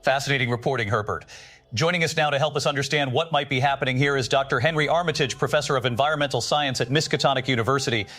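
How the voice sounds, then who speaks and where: Radio drama news anchor, played by a man: quick, bright delivery, composed and formal. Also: mid-range voice, soft background music, natural voice. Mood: composed, inquiring. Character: brisk, polished male television news anchor. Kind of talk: scripted broadcast news reading in audio drama.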